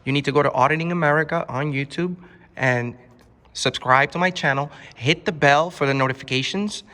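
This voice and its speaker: A man's voice: fairly high pitch, fairly quick, bright, forceful tone, in a large hall. A young man from Florida filming in a government office on his phone, questioning a staffer pushily and talking over him. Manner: pushy, interrupting, repeats demands. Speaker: YouTube auditor filming a public office. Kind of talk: confrontational on-camera questioning. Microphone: handheld phone camera.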